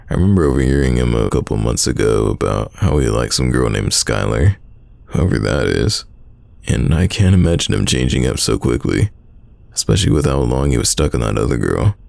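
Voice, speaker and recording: A man playing a secretly smitten, teasing young man in an intimate roleplay audio drama, deep and gravelly, talking casually. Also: darkish tone, no echo, full character voice. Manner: casual, conversational, halting, teasing.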